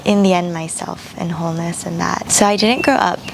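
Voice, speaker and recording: A young woman from Japan, speaking in a soft, reflective way with frequent pauses and fillers. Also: lowish voice, creaky, noisy background. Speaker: vlogger. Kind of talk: reflective personal voice-over.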